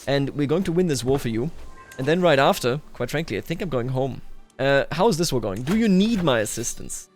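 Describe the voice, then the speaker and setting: A man's voice: medium pitch, expressive, brisk, faint music behind. A man giving casual roleplay commentary on a strategy game, thinking aloud and talking straight to the game's characters. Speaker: gaming video host.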